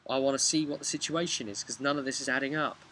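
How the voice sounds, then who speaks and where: Bright-voiced British man recapping a game. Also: medium pitch, fast talker. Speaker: game master recapping a session. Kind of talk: casual storytelling recap of a tabletop role-playing game. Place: outdoors, on a bench by a house. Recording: outdoor webcam with background noise.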